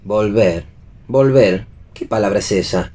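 An Argentine actor playing a wry, bantering husband, fond but sceptical, in a radio play reading. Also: male voice, on the low side, unhurried, dark tone. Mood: dry, ironic.

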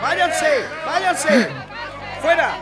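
A man playing a harsh officer dispersing a protest in an audio drama, barking shouted orders. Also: extremely high pitch, animated, very slow, exaggerated voice. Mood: angry, commanding. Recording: loud music and crowd behind.

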